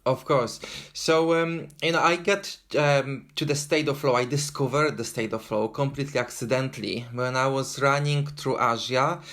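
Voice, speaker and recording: A man's voice: mid-range voice, fairly even intonation, moderate pace, a bit bright. A man from Poland with a Polish accent, speaking as an interview guest in an earnest way, with many fillers and restarts. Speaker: guest, endurance runner. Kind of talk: casual interview talk.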